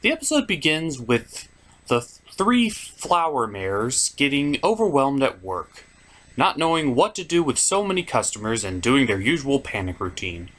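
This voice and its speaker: Animated, sarcastic man reviewing cartoons. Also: mid-range voice. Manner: sarcastic, theatrical. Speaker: host of a cartoon review show. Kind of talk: scripted comedic review narration.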